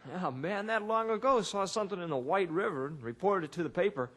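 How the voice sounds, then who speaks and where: A man's voice: high-pitched, expressive, fast talker, a bit bright. An actor playing an eager, curious young male sidekick in an old-time radio adventure, earnest and inquisitive.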